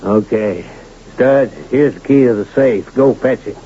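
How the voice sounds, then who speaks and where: An American actor playing a crooked, domineering town boss and gambler in a Western radio drama, with rough colloquial talk. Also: male voice, mid-range voice, a little slow, mellow. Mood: bossy, impatient. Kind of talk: old-time radio drama acting. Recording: muffled, noisy old radio recording.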